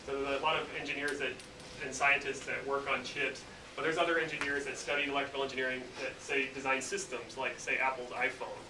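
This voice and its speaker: A man's voice: mid-range voice, a little fast. A man, an engineer, casually explaining his work to students in a classroom, with many filler words. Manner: relaxed, lots of "you know" fillers. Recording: roomy distant mic with noise.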